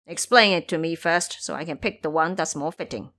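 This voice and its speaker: Foolish male pig monk, woman performer, lazy complainer with a lowish, blustering delivery for audio drama. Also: fairly quick, a bit bright. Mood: dim, obliging.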